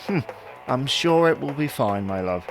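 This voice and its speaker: Man acting an exasperated husband, animated and swooping. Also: mid-range voice, normal speed, gravelly, soft background music. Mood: impatient. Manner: indignant, sarcastic bickering. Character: exasperated, put-upon husband in a domestic squabble. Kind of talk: comedy audio drama dialogue.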